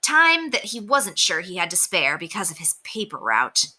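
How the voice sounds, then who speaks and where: Woman teacher, storybook read-aloud, lively pitch, very bright tone. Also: normal speed. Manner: expressive storytelling. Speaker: elementary teacher. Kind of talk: reading a chapter book aloud.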